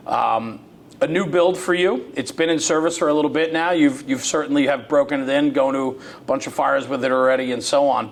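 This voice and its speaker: An American man interviewing conversationally as a show host, engaged and explaining his points at length. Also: creaky. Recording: faint room noise with low rumble.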